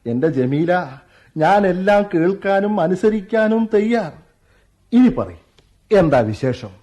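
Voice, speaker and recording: An actor playing a doting, teasing young husband in a radio drama, with a playful and indulgent manner. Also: male voice, high voice, sing-song, slow pace, warm tone, exaggerated voice. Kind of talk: 1990s-style radio drama acting.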